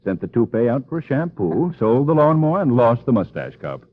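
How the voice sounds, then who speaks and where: An actor playing an urbane, wry, easygoing sleuth in a 1940s radio drama. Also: male voice, medium pitch, very animated, a little fast. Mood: relaxed, amused. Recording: thin, phone-like old radio recording.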